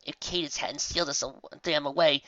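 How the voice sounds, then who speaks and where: A man's voice: on the high side, fairly even intonation, a little fast, bright, forceful tone, no echo, muffled recording, voice pushed up. A man voicing a nervous pony who whimpers while recounting old legends. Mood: anxious. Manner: whimpering, anxious explaining.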